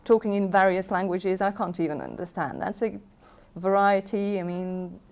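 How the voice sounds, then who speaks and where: A woman's voice: medium pitch, fairly even intonation, normal speed, gravelly, a little mellow. A woman from Lithuania with a Lithuanian accent, speaking English hesitantly with filler sounds. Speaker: internal auditor and community page organiser.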